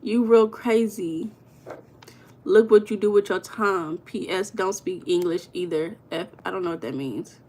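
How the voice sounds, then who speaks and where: A woman's voice: mid-range voice, unhurried, darkish tone. Playful slangy American woman hosting a live. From Los Angeles, USA. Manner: playful, slangy, teasing banter with chat. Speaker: livestream host. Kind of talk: casual live chat reading viewer comments.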